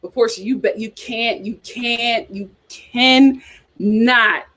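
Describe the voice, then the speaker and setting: A woman hosting a casual live chat show, reacting to comments in an animated, gossipy, blunt way and repeating phrases for emphasis. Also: a little high, takes it slowly. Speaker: host of a reality TV chat show.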